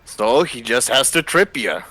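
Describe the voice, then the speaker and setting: A man's voice: medium pitch, very animated, a little slow, pushed and bright, heavily acted. A man voicing a boastful, hard-drinking Scottish mercenary who teases his companions, in a fan story reading. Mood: teasing. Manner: teasing, boastful. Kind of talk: amateur voice acting in a live fan story reading. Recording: music behind the voice.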